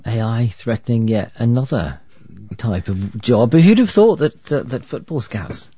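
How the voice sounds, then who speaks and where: A man's voice: medium pitch, expressive, a little slow, dark tone, breathy edge, dry recording. A man presenting live news in a conversational style. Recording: dull lo-fi audio.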